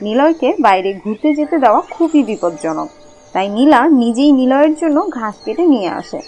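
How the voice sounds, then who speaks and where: A woman's voice: a little high, lively intonation, fairly quick, a little mellow, a little hiss or hum, close to an everyday voice. A woman narrating a scripted fairy-tale cartoon as a storyteller.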